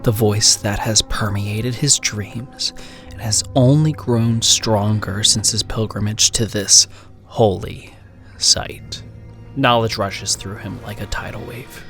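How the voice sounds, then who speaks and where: Low-voiced man narrating a dramatic horror story. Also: fairly even intonation, slow pace, a bit bright. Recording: music behind the voice. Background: music.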